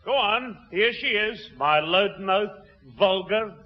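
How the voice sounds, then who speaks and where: A man's voice: high-pitched, animated, slow. An actor playing a fiery old grandfather, blustering and combative, in a 1960s radio sitcom. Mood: furious, challenging. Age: older. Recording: dull, reverberant 1960s radio recording.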